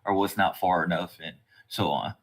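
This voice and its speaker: A man's voice: low-pitched, moderate pace. A man hosting a podcast in a casual interview style, talking loosely and circling around his points.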